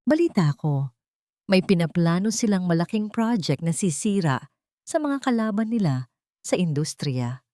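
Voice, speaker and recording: A woman's voice: fairly low pitch, big pitch swings, normal speed, warm tone, no room sound, studio quality. Woman as an impressed, gossiping businessman. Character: admiring gossiping business people at a gala.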